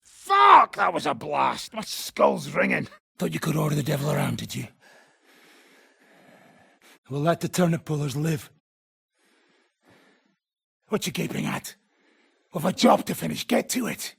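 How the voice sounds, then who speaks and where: An actor playing a foul-mouthed, swaggering mercenary gang leader in a video game, brash and sardonic, with a raspy, gravelly voice. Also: male voice, on the high side, very animated, measured pace, long pauses, bright, forceful tone, no room sound, clean studio sound, heavily acted. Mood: furious shouting, then amused, wry, then menacing, commanding. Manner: brash, sardonic, commanding, swearing freely. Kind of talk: game dialogue, coarse medieval banter and battle shouts.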